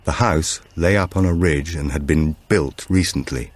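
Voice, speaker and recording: A man's voice: very deep voice, normal speed. A man narrating a supernatural thriller audiobook from a script.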